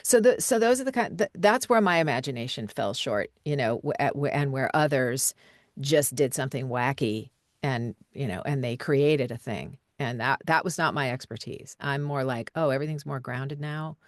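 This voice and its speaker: A woman with a notably low voice hosts a live video-call Q&A as a voiceover coach. She talks in a relaxed, chatty way, full of little fillers and soft laughs. The sound is dry and close, with no room echo. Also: moderate pace. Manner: conversational and relaxed, with frequent fillers and light laughs.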